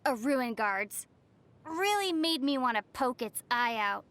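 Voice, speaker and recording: Young heroine of a fantasy game, high voice, gentle, composed, wistful, dryly teasing. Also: female voice, animated, unhurried, no echo. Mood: calm, reflective. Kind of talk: video game voice acting.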